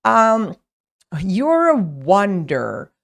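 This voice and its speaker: Slow, chatty woman teaching English. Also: mid-range voice, very animated, gravelly. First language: Portuguese. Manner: chatty, many fillers and restarts. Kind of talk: casual online English lesson.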